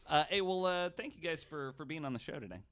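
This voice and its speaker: A man's voice: fairly high pitch, lively intonation, fast talker, fairly bright tone, clean tone. A man hosting a casual podcast with joking, easygoing banter. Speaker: podcast host. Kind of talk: casual banter and show sign-off.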